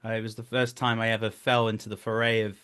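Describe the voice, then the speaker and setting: A man's voice: on the low side, flat delivery, fast talker, bright, forceful tone, clear, resonant tone. A man in his thirties talking casually as an interview guest, telling jokey, self-deprecating anecdotes as a director. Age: 30s.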